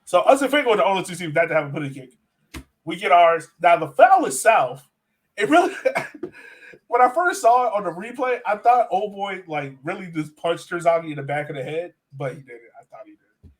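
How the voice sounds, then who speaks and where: Man, fairly high voice, podcast host, casual sports fan chat, conversational, self-questioning. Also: lively intonation, brisk.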